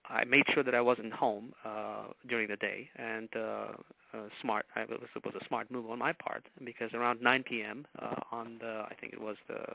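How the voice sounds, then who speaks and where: A man in his forties, from Romania, recounting a personal story as an interview guest, halting and full of filler words. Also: mid-range voice, gravelly, pushed and bright, rough recording. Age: 40s.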